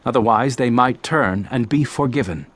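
An actor playing an impassioned male teacher preaching parables in a dramatized audio Bible, with declamatory, deliberate phrasing. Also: medium pitch, husky, close to an everyday voice. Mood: earnest, explaining.

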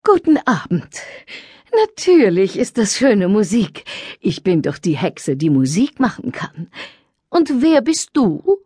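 A woman playing a friendly, proud music witch in a children's audiobook, explaining things in an unhurried, teacherly way. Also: medium pitch, very animated, warm tone, slightly rough, no echo, clean studio sound, exaggerated voice. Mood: proud, welcoming.

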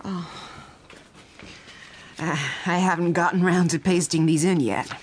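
A woman's voice: on the low side, steady tone, unhurried, darkish tone, a little hiss or hum, natural voice. An actress playing a genteel, doting middle-aged mother, polite and hesitant, in a radio drama. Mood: fond, hesitant.

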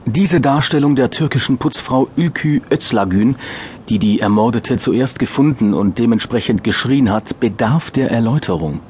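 A male actor plays a wry narrator in a satirical police radio play. He speaks with an Austrian accent at a middle pitch, in dry, officious, bureaucratic phrasing. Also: normal speed, darkish tone, a little hiss or hum, close to an everyday voice. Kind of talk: scripted crime audio drama narration.

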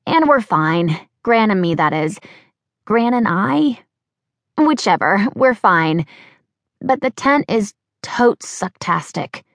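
A woman's voice: medium pitch, expressive, slow pace, no room sound, studio quality, pitched above the performer's own voice. A woman voicing a clumsy, bubbly young American woman with an American accent who rambles on breathlessly. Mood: chatty.

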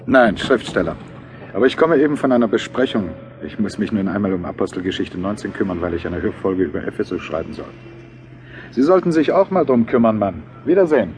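A man's voice: lowish voice, expressive, brisk. A man playing a devout, probing writer riding in a taxi in a radio play, terse and earnest. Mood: preoccupied, earnest. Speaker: actor in an audio drama. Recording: noisy, with car and traffic sounds. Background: car engine and street ambience.

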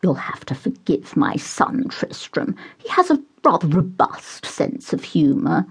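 A woman voicing a meddling, gushing upper-class mother who squeals and beams in a high, shrill voice. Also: huge pitch swings, measured pace, breathy edge, exaggerated voice.

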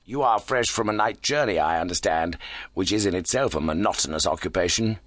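A man voicing a kindly, brisk gentleman doctor in an audiobook, courteous and concerned. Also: sits low, no echo. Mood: polite. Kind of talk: audiobook character voice.

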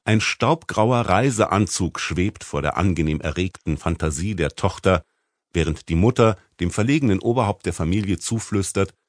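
Male audiobook narrator, low voice, wry and articulate, dry close sound. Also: moderate pace, no echo. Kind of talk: scripted literary narration.

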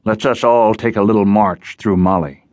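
A man voicing a huge, gruff, menacing seafaring outcast in an audiobook, giving unhurried orders. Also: lowish voice, steady tone, a little mellow, no room sound, close to an everyday voice. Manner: gruff, nautical, unhurried commands. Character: giant bloated gruff male menacing underwater outcast. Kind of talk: audiobook character voice.

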